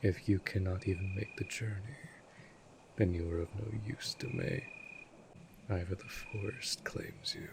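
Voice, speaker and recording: A man performs an imperious, centuries-old vampire lord for a fantasy audio roleplay. His voice is low and creaky, with formal, disdainful phrasing and a cold, commanding temper. Clear night-time cricket ambience sits behind him. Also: steady tone, a little slow, background noise. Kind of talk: scripted audio roleplay drama.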